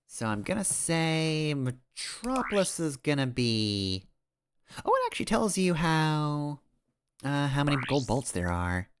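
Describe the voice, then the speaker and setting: A man's voice: a little high, very animated, a little slow, a little hiss or hum. A man streaming a video game, giving casual, rambling commentary in a wry, playful way as he muses to himself. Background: video game music.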